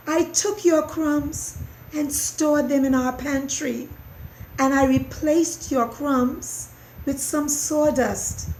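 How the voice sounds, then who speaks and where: A woman voicing a guilty, tearful young boy mouse in a storybook reading, with a squeaky, earnest delivery. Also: a little mellow. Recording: roomy echo, faint background noise.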